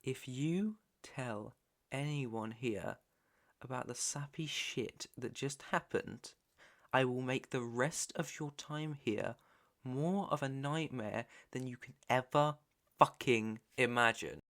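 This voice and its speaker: A British man playing a controlling, possessive young mafia heir in an ASMR roleplay, deliberate and commanding, with menace under the coaxing. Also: mid-range voice, lightly acted. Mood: quietly threatening. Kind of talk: ASMR roleplay, second-person dramatic acting.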